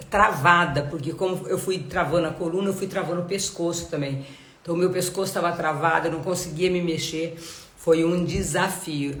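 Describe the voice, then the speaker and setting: A woman's voice: lowish voice, reverberant. A woman giving a live devotional Bible talk, nasal and congested from a cold, earnest and full of rhetorical questions. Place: home.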